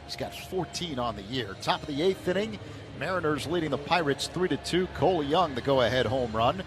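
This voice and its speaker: A man's voice: on the high side, animated. Man calling baseball on radio. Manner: measured, rising with the action. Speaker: play-by-play announcer. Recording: broadcast with clear background noise.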